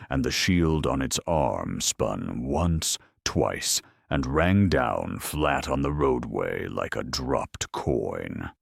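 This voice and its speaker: Man, extremely low pitch, heavy gravel, slow dramatic storytelling, wry, dry close sound. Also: dry recording. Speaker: audio story narrator. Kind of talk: dramatic scripted narration.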